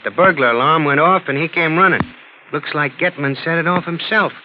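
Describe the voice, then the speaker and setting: An actor playing a blunt, businesslike homicide sergeant with clipped, plain-spoken delivery in a 1940s radio drama. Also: male voice, fairly high pitch, a little fast, natural voice. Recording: muffled old radio broadcast, faint noise.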